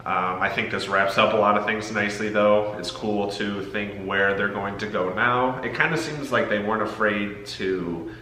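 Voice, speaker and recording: A young man with an American accent reviews films in casual conversation. His voice sits fairly low yet cuts through brightly and forcefully. The room adds noticeable echo. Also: moderate pace. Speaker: movie reviewer, co-host. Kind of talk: casual movie review chat. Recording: echoey room with faint noise.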